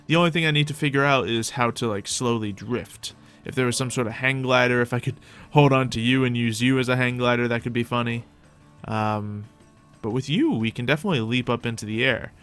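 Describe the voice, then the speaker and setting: A man's voice: medium pitch, brisk, smooth, soft background music. Chatty young man narrating his gameplay. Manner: chatty, talks to his pet dragon, excited yelps. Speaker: roleplay gameplay host. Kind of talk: casual gameplay roleplay commentary.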